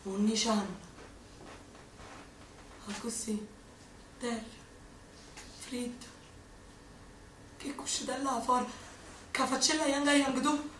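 A woman's voice: a little high, slow, pauses often, fairly bright tone, a little hiss or hum. A young woman with a Neapolitan accent acting a brave, tender partisan girl in a radio drama. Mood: tender hushed. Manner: pleading, emotional, dialect-heavy delivery. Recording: roomy, distant mic, music underneath.

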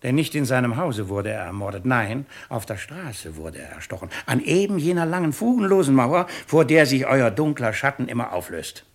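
Imperious judge with sweeping, theatrical delivery. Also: male voice, medium pitch, very animated, normal speed, hardly any pauses. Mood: sharp, accusing. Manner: formal, theatrical, emphatic declaiming. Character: imperious, courtly, ironic president of the court. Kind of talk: 1950s German radio play acting.

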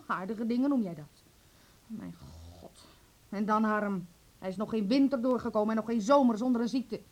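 A woman's voice: on the high side, moderate pace, a little mellow, natural voice. A woman acting a bitter, domineering, scornful middle-aged mother in a Dutch radio drama, indignant and reproachful.

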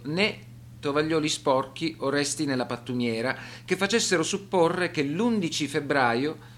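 A man reading scripted radio documentary narration in a measured, serious, formal manner. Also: a little high, a bit bright, a little hiss or hum.

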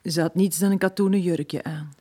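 A woman's voice: lowish voice, steady tone, moderate pace, mellow, slightly rough. An actress playing a brooding village woman who narrates as part of a chorus in a Flemish radio drama.

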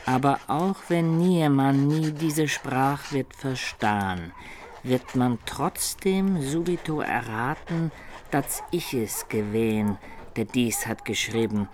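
A man's voice: mid-range voice, animated, slight background noise. A man playing a roguish medieval Italian of peasant birth in a radio play, who halts and labours over archaic words as he writes his chronicle. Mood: sly, musing. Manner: laborious, halting dictation while writing, archaic wording.

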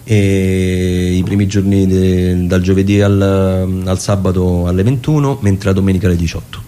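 A man's voice: low-pitched, fairly even intonation, moderate pace, warm tone. A man with a dryly playful manner, talking casually as a theatre director in a radio interview. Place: radio studio.

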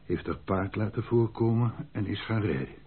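A man's voice: on the low side, lively intonation, normal speed, slight background noise, natural voice. An actor playing a frank, loyal army captain in a Dutch radio drama, deferential but emphatic as he speaks. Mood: grave, recounting. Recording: muffled, band-limited old radio recording.

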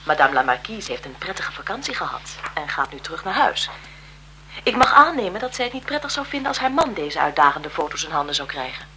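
An actress playing a frail-seeming, calculating blackmailer in a radio drama, deferential and insinuating in manner. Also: female voice, low-pitched, sing-song, a little fast, bright, forceful tone, full character voice. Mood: menacing, smooth. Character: frail-seeming, calculating female blackmailer, photographer's bereaved sister. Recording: 1970s radio recording with noise.

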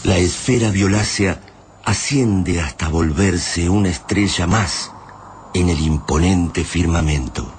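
A man with an Argentine accent acting as the grave, deliberate narrator of a science-fiction radio serial. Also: deep voice, a little mellow. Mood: grave, ominous. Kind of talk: dramatic scripted radio narration. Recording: clearly noisy background ambience.

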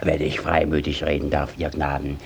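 An actor playing a shifty, flustered village judge who stammers and blusters in a classical German radio play. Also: male voice, rumbling low, monotone, moderate pace, gravelly, slight background noise. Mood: flustered, evasive. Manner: stammering, hesitant, evasive, wheedling.

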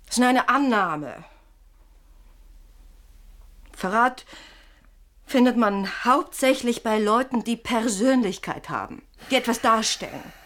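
A sleepless wife in a radio play, needling and insinuating. Her voice sits fairly high and moves through very animated melodies. She probes with mock sweetness and theatrical irony. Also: unhurried. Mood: probing. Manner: theatrical, probing, ironic. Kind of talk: German radio play acting.

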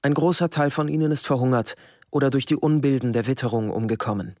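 An actor playing a detached wartime official, formally reading out orders and reports in a radio drama. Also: male voice, medium pitch, quick pace, darkish tone, no echo, clean studio sound, close to an everyday voice. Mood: officious, detached. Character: bureaucratic Nazi official stating orders and reports.

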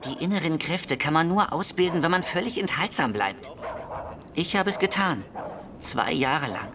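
Over a clearly noisy, rumbling background comes a rather high-pitched schoolboy in a radio drama. He is lonely and agitated, confiding with urgent, hasty earnestness. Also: expressive, normal speed. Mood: fervent, earnest. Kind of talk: German literary radio drama.

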